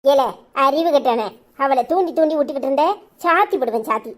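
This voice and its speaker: A woman voicing a sharp-tongued old grandmother who scolds loudly in rapid tirades. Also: high-pitched, lightly acted. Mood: scolding.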